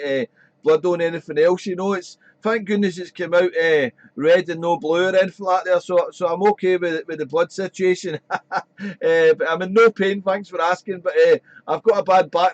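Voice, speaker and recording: A man chatting on amateur radio from his radio shack, rambling and friendly, his voice slightly croaky. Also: high-pitched, no echo, lo-fi sound. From Wales. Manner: chatty, rambling, fills with "there". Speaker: ham radio operator. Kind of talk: casual radio chat.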